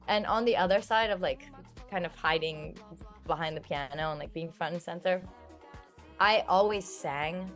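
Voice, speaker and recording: A young woman in her twenties, originally from Turkey, talks in a relaxed, chatty way and keeps dropping "like" and "um". Her voice sits on the low side. Faint music plays underneath. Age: 20s. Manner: chatty, filled with "like" and "um". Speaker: singer being interviewed. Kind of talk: casual interview talk.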